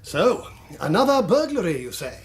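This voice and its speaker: A bluff, excitable country gentleman in a radio drama. The man's voice is high and very animated. He comes across as hearty, teasing and full of bluster. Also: moderate pace. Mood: sceptical.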